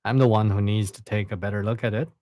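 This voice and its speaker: A man voicing a scornful, sharp-tongued young male god in a read-aloud story. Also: lowish voice, steady tone, fast, no room sound, clean studio sound, close to an everyday voice. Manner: dismissive, cutting.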